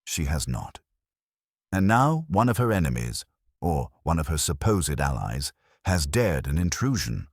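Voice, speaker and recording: A man voicing an imperious, stern male detective in an audiobook, speaking slowly, precisely and formally. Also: extremely low pitch, big pitch swings, breathy edge, no room sound, studio quality, full character voice. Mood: stern, deliberate. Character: imperious middle-aged male genius detective, stern and deliberate.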